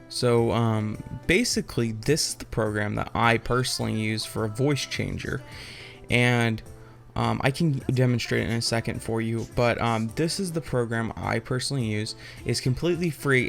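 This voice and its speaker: Young man, casual tech tutorial host, rambling informal delivery, mid-pitched voice, music in the background. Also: gravelly. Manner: rambling, informal, loosely explanatory.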